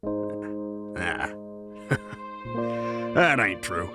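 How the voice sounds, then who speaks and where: A man playing a gruff but easygoing, weary gunslinger in a Western audio drama, with a folksy, laconic drawl. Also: lowish voice, lively intonation, very slow, music in the background. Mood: reluctant.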